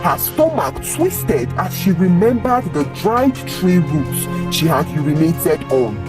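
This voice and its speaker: Slow-paced, animated storyteller over music. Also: medium pitch, far from the mic. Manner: expressive, acts out the characters' dialogue. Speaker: storyteller, narrator of folktales. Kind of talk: scripted dramatic folktale narration.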